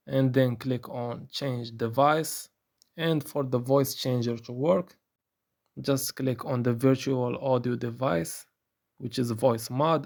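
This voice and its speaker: A man narrating a step-by-step software tutorial in a plain, instructional manner. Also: mid-range voice, vocal fry.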